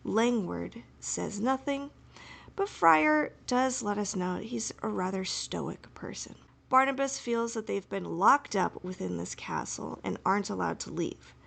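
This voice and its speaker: Female podcast co-host, casual and giggly, enthusiastic fan chat, some vocal fry. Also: medium pitch, a little slow, a bit bright. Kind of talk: casual fan discussion with recap.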